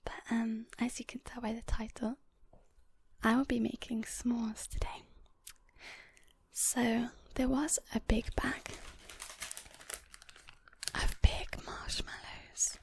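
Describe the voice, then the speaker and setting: A woman whispers casually to her viewers as an ASMR host. Her voice is extremely breathy and husky, with some crackle in it. She rambles gently and without hurry. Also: a little high, flat delivery, slow pace, pauses often. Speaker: ASMR video creator and host. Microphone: portable handheld audio recorder. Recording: close whispered recording on portable recorder.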